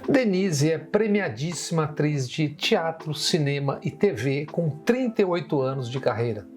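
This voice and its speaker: A man hosting an interview, giving formal, measured introductions and asking questions. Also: on the high side, expressive, a little mellow, faint music behind. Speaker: interview host.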